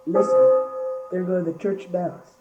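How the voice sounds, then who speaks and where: A woman's voice: fairly low pitch, little pitch movement, slow pace, over loud music. A young teenager, a girl, playing a shrewd, placid village millhand in a school radio play. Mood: matter-of-fact. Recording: muffled, distant amateur recording.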